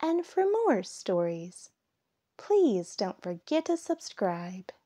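A woman reading a children's picture book aloud, with deliberate phrasing and expressive emphasis. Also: medium pitch. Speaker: storybook reader.

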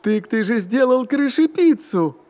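A man voicing an excitable, enthusiastic little mustached cook in a children's cartoon, with childlike, exclamatory delivery. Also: very high-pitched, a little slow, slight background noise. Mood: dismayed, whiny.

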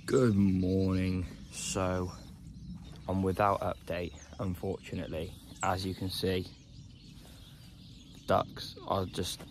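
A young man in his twenties filming himself on a phone by a lake, talking casually to camera in a low-key, resigned way with plenty of "um"s. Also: slight background noise. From England. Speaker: carp fishing vlogger. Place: outdoors by a lake. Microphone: phone camera held at arm's length.

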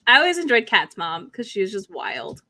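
A woman's voice: mid-range voice, a little fast, no echo. A woman in a casual book chat on a video call, gushing and often quoting her own reactions as she goes. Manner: animated, gushing, self-quoting. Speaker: book livestream co-host. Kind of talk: casual chat about books. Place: indoor room at home, on a video call.

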